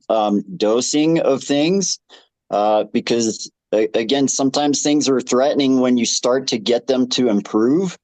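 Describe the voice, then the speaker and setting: A man giving informal advice in an online discussion, measured and rephrasing as he goes. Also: mid-range voice, a little mellow, dry recording, poor audio. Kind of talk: informal advisory talk.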